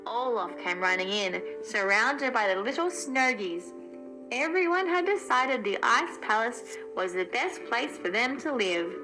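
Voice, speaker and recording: A woman narrating a children's storybook aloud in an expressive storytelling way. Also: fairly high pitch, unhurried, over music, poor audio. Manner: expressive storytelling, acts out dialogue.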